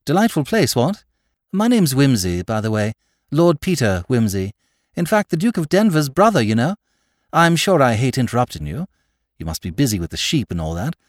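An affable upper-class English gentleman about town , played by a male narrator. He rambles courteously and breezily, his pitch leaping about in a lively way. The sound is close and dry, like a storybook reading. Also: normal speed, no echo, close to an everyday voice. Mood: breezy, chatty.

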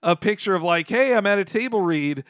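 A man talks casually as a podcast co-host, in a clear voice that sits a little high. He is enthusiastic and often laughs. The sound is very dry, with no room around it. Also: expressive, a little fast, fairly bright tone, dry recording. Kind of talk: casual chat recounting a fan outing.

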